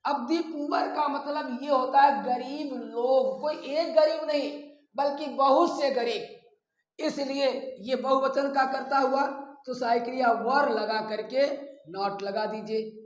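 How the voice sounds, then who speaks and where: High-pitched Indian man teaching, echoey room. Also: takes it slowly, muffled recording. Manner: instructional, dictates English examples word by word. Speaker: English grammar teacher. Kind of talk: step-by-step grammar lesson.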